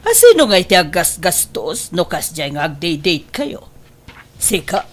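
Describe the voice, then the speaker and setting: A woman's voice: fairly low pitch, very animated, a little slow. A middle-aged woman with an Ilocano accent playing a scheming, disapproving mother in an audio drama. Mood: probing, mocking. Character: scheming, wealthy mother, disapproving of daughter's boyfriend.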